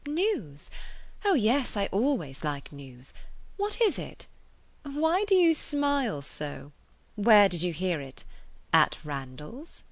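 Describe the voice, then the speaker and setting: A woman voicing a bright, eager, well-bred young lady in an audiobook, lively and questioning. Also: mid-range voice, slow, no room sound, pitched above the performer's own voice, exaggerated voice. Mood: eager, curious. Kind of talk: audiobook character voice.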